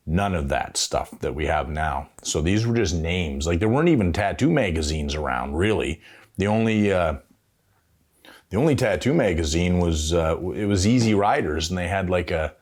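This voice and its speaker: A Canadian man in his fifties telling stories casually as an interview guest, in a halting, thoughtful way. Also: low voice, a little mellow. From Ontario, Canada. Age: 50s. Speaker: veteran tattoo artist, interview guest. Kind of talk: casual reminiscing, storytelling.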